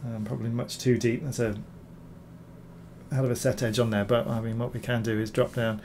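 A man, hoarse from recovering from illness, talking through a screen-recorded software tutorial, rambling with many ums and repeated words. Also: mid-range voice, flat delivery, brisk, a little hiss or hum. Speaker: bas-relief sculptor reviewing software.

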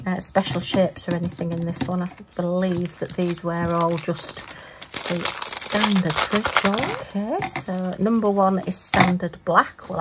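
A middle-aged woman talking casually to herself while crafting, her voice going hoarse. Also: on the low side, noisy background. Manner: chatty, talks to herself. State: losing her voice. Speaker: craft vlogger.

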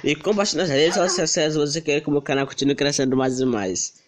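Hoarse teen boy gamer with a cold. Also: fairly high pitch, fairly quick, a little hiss or hum. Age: teens. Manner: slangy, giggly, joking. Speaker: gaming channel host. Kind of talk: casual gameplay commentary.

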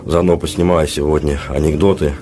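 Sick guy vlogging on his phone, super deep monotone voice, sore throat, halting casual talk. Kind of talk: casual vlog talk to camera. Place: small dormitory room, indoors. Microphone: handheld phone at arm's length.

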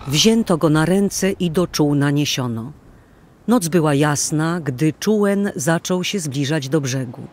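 A woman's voice: sits low, lively intonation, unhurried, darkish tone. A woman narrating an old tale as scripted audio drama, in a solemn, theatrical manner.

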